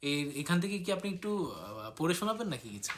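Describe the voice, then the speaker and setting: দ্বিধা নিয়ে কথা বলা পুরুষ সঞ্চালক. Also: male voice, on the high side, very animated, a little fast, a little hiss or hum. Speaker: host interviewer. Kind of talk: casual book discussion.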